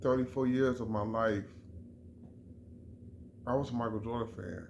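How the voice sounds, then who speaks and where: A man's voice: medium pitch, steady tone, moderate pace, gravelly, a little mellow, slight background noise. A middle-aged man from Chicago giving an emphatic, repetitive sports opinion in a casual vlog, often restarting his phrases. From Chicago, USA. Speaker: vlogger.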